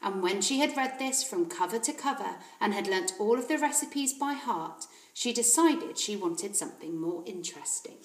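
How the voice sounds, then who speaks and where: Female reader, schoolteacher type: expressive storybook narration, animated intonation, roomy sound. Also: medium pitch, moderate pace, few pauses. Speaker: teacher reading aloud. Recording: roomy echo.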